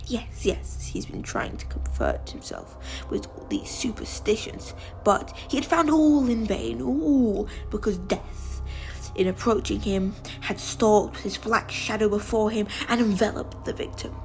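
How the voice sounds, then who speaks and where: A woman playing a deranged, gloating murderer in a dramatic gothic story reading, theatrical and often dropping into breathy whispers. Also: mid-range voice, measured pace, darkish tone, soft background music, poor audio. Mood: dark, gloating. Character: deranged, gloating murderer confessing while insisting on sanity.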